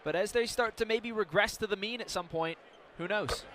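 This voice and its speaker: Bright, high-pitched male color commentator. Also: moderate pace, slight background noise. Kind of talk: conversational sports analysis. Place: basketball arena during live game.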